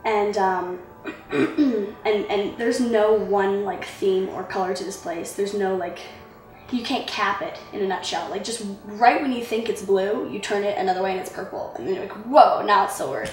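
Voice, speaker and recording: A young woman of medium pitch talks informally, as in a casual interview. She sounds set back from the mic in a roomy space, with faint music underneath. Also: normal speed, a little mellow, far from the mic. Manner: informal, with frequent "like". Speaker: student.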